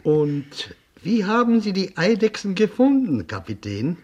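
A man's voice: sits high, big pitch swings, a little slow, a little mellow, slightly rough, full character voice. An actor playing a courteous, eager older businessman in a radio play, listening and prompting with polite questions. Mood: attentive. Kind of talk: German radio play acting.

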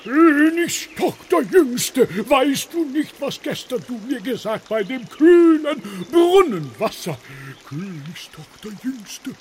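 A performer playing a small, pleading fairy-tale frog reciting drawn-out verse in a children's radio play. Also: high voice, sing-song, darkish tone, a little hiss or hum, full character voice.

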